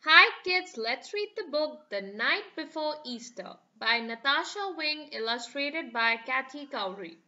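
A woman's voice: sits high, expressive, unhurried, hardly any pauses, bright, forceful tone, muffled recording. A woman reading a rhyming picture book aloud for children in a sing-song storytelling manner.

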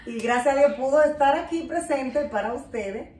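A woman with a Dominican accent and a fairly high voice hosts a casual home vlog. She is recorded at some distance from the camera microphone, and the living room echoes clearly around her. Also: normal speed, mellow. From Dominican Republic. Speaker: vlog host. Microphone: camera a few meters away.

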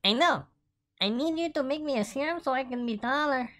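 A woman voicing a small, starstruck young male taco in a cartoon, earnest and excitable. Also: sits high, brisk. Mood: eager. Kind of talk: animated cartoon voice acting.

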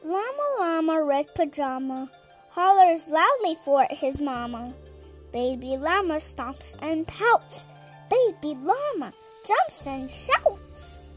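A seven-year-old girl reading a children's story aloud in a deliberate, sing-song, emphatic way. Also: sits high, big pitch swings, faint music behind. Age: child, seven years old. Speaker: storyteller reading a picture book.

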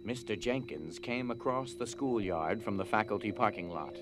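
A man's voice: lowish voice, expressive, moderate pace, background noise. A man narrating a scripted story for an audio drama.

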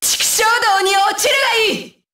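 A woman's voice: very high-pitched, fairly even intonation, normal speed, sharp tone, dry recording. A woman playing a fierce, disdainful young female shinobi with a curt, commanding manner, in anime video game battle lines. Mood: fierce, threatening.

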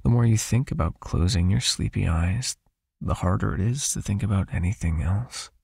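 A man voicing a possessive, soothing vampire hypnotist in an ASMR roleplay, with a hushed, coaxing manner and a raspy, creaky, breathy voice. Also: lowish voice, moderate pace, dry recording, studio quality, exaggerated voice. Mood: soothing, commanding. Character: possessive soothing male vampire hypnotist, controlling yet caring.

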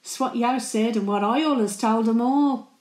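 An older woman playing a chatty, cheeky, warm-hearted working-class Birmingham great-grandmother, gossiping and rambling through a monologue. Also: fairly high pitch, moderate pace, echoey room, lightly acted. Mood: gossipy. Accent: Birmingham (Brummie). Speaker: actor performing a dramatic monologue.

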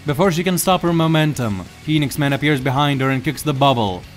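A man's voice: fairly high pitch, brisk, soft background music. A man reading scripted, dramatic story narration as the narrator.

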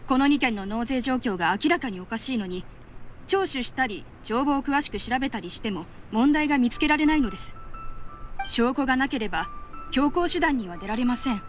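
A woman's voice: a little high, animated, a little fast, faint music behind, lightly acted. A woman voicing an earnest young junior clerk in an anime game, deferential and formal. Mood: troubled.

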